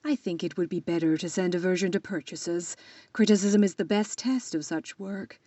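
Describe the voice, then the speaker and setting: A woman playing a sensible, kindly middle-aged mother in a period audio drama, gentle and reasoned. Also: lowish voice, steady tone, moderate pace, dry recording, lo-fi sound, natural voice. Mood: reasoning.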